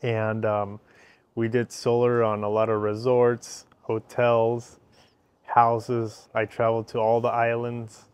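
Slow-talking man with an Ecuadorian Spanish accent. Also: medium pitch, monotone, darkish tone, smooth, no echo. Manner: short simple sentences, repeats phrases. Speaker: interview guest. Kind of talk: casual interview. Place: covered outdoor patio by a fireplace.

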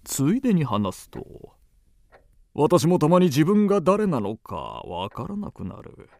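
A man acting a suave, boastful gentleman thief in a radio drama, theatrical and grandiose. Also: on the high side, huge pitch swings, unhurried, vocal fry, slight background noise, exaggerated voice. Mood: proud, musing.

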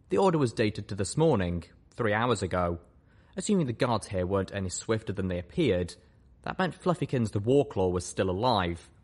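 A man reading a scripted first-person story aloud as an audiobook narrator. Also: low-pitched. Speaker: audiobook reader.

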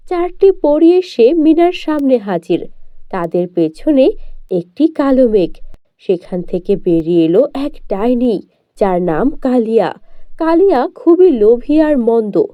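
High, animated woman storyteller. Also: no echo, lo-fi sound. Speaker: narrator of children's cartoon fairy tales. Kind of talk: scripted story narration.

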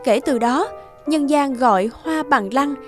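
A woman with a high voice narrates a cartoon folk tale. Her delivery is scripted, in storybook style, and music plays underneath. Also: unhurried.

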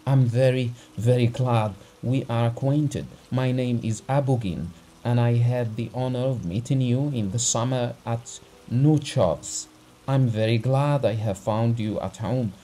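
A man reading aloud and voicing a frantic middle-aged gentleman who pleads breathlessly for help. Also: mid-range voice, measured pace, a little hiss or hum, lightly acted, poor audio. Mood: relieved, pleading.

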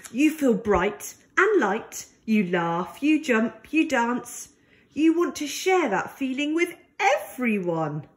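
A woman reading a picture book aloud to young children in a gentle, deliberate and expressive way. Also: sits high.

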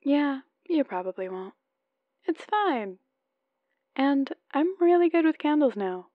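A woman's voice: sits high, big pitch swings, takes it slowly, no echo, phone-quality sound, heavily acted. A woman playing an adorkable, lovesick, playful newlywed wife leaving chatty voicemails in an ASMR roleplay. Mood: sheepish, flustered. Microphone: close microphone, dry.

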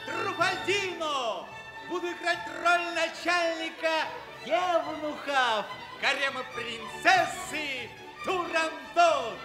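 An actor playing Truffaldino, a clownish chief eunuch of a harem, declaiming comically with drawn-out words. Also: male voice, very high-pitched. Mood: gleeful, showy. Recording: echoey stage with music behind.

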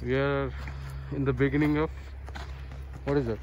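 A man's voice: mid-range voice, level tone, normal speed, a little mellow, highs cut off. A man with an Indian accent, a native Telugu speaker, chatting casually as a father filming a family vlog, in short repeated phrases and murmured hums. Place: outdoors on a forest trail. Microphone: handheld camera, held by the speaker. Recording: noisy outdoor handheld camera audio.

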